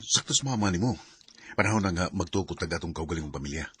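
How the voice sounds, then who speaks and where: An actor with a Cebuano Filipino accent playing a young husband with a temper in a Bisaya radio drama. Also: male voice, sits low, animated, fast talker, a bit bright, faint music behind. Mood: loving, earnest. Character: young husband, sweet-talking, then lazy and quick-tempered.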